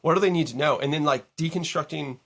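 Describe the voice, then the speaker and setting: A man who is a guest producer, chatting casually on a podcast, slightly hoarse, animated and swearing as he tells stories. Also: a little high, fast talker. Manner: animated, swearing, mock-quoting people.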